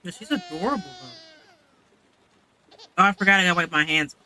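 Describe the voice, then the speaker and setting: A man's voice: high voice, expressive, fairly quick, pushed and bright, a little hiss or hum. A man giving casual commentary as he plays a video game. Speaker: game streamer.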